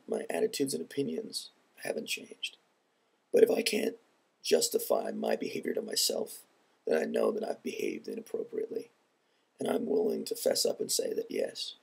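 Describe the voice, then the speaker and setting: A man speaking in an earnest, measured and reflective way in a personal vlog, his voice congested from a head cold. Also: rumbling low, vocal fry, darkish tone, slightly rough. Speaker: video blogger.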